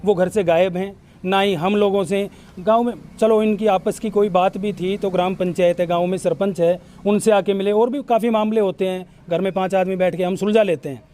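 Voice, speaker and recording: A man's voice: high voice, fairly even intonation, fairly quick, few pauses, dark tone. A man giving an earnest, explanatory account in a news interview. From Haryana, India. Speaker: villager interviewed by local news. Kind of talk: on-camera news interview testimony.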